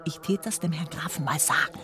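An actress playing a gossipy, disapproving landlady in a radio play, hushed and indignant. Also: deep voice, steady tone, fairly quick, over music, lightly acted. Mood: indignant, confiding. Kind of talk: German radio play acting.

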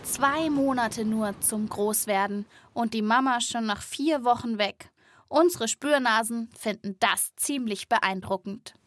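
Eine Frau moderiert einen Kinderpodcast, teils erzählend, teils im spielerischen Dialog. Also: female voice, a little high, a little slow, no room sound. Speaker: host and reporter of a children's podcast. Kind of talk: scripted narration with playful dialogue.

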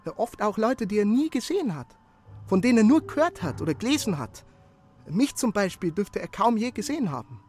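An actor playing an eager, servile messenger in a radio drama, speaking earnestly and apologetically, with halting repetitions. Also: male voice, high voice, very animated, darkish tone. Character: eager, servile young male messenger reporting his efforts.

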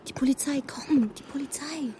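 A frightened young woman played by an actress pleads in an urgent, impatient hiss. Her voice is fairly high, in a radio drama scene with clear ambient noise. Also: moderate pace, dark tone, close to an everyday voice. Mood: panicked, impatient.

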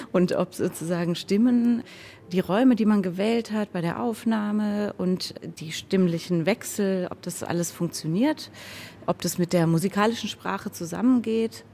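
A woman's voice: on the low side, dark tone. A woman radio drama director giving a reflective interview, thinking aloud and correcting herself as she goes. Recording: clearly noisy background.

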